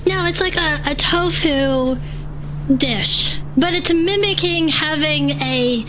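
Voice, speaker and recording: Gentle snack-minded adventurer, high register, fussy chatty delivery, audio drama. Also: female voice, slow pace, music in the background, poor audio. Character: chatty, gentle, food-loving adventurer sidekick. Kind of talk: immersive fantasy audio drama.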